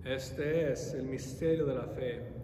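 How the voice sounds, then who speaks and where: Male priest saying mass, solemn and formal, echoey church, bit of background noise. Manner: measured, formal ritual phrasing. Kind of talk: solemn liturgical prayer recitation. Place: large reverberant church interior. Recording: echoey church hall, faint low rumble.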